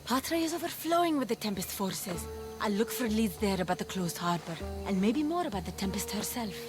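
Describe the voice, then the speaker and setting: An actress playing a compassionate, determined young woman fighter in a video game, earnest and purposeful over soft dramatic music. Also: mid-range voice, expressive, a little fast, natural voice.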